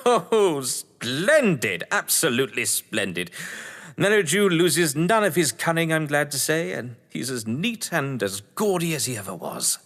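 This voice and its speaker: Actor as effusive English novelist, swooping delivery, echoing hall. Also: male voice, fairly high pitch, very animated, a little slow, a bit bright, full character voice. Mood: self-satisfied. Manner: genteel, effusive, theatrical. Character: Andrew Wyke, jovial theatrical English crime novelist, lord of the manor. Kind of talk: stage thriller audio drama acting. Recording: long reverberant hall echo.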